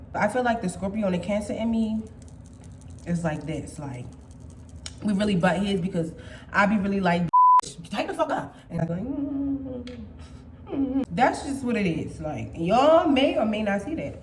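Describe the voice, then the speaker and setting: A young woman in her twenties talks straight to her viewers in a loose, playful vlog chat, swearing freely as she goes. Her voice sits at an ordinary middle pitch. The room around her is echoey, with a faint hum underneath. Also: darkish tone. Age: 20s. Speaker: vlogger. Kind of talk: casual chat.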